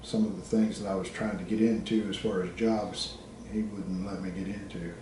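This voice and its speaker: A middle-aged man giving a personal, reflective presentation as a student, speaking haltingly in short phrases with pauses. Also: sits low, flat delivery, mellow. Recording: very echoey, distant microphone, background noise.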